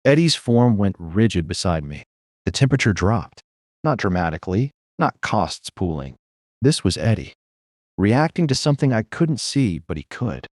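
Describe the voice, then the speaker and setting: A man's voice: on the low side, sing-song, moderate pace, a little mellow, no room sound, clean studio sound. A man reading scripted first-person noir narration as the story's narrator.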